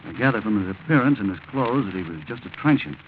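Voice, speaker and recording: An actor playing a slangy, quick-thinking schemer who coolly takes charge, in 1940s radio drama style. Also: male voice, on the low side, expressive, natural voice. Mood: calm, controlled. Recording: muffled, noisy 1940s radio recording.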